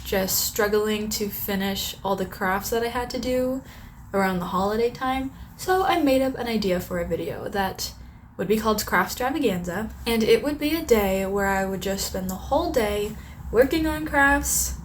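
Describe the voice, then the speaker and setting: A young woman with a slightly strained, sore-throat voice chats casually through her plans at home. She rambles with lots of little fillers and keeps an easy, middle-pitched tone. A faint room hum sits behind her. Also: lively intonation, normal speed. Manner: chatty, rambling with many "like"s. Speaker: craft vlogger. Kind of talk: casual vlog narration.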